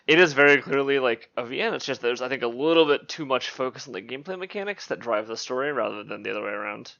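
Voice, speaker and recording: A man's voice: medium pitch, quick pace, creaky, pushed and bright, highs cut off. A man co-hosting a podcast, chatting casually about a shared hobby. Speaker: podcast co-host.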